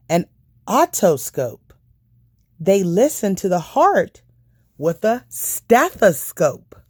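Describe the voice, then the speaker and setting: A woman narrating a children's book aloud, phrasing each part carefully and deliberately. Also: sits low, darkish tone, no room sound, clean studio sound. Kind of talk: scripted storybook narration.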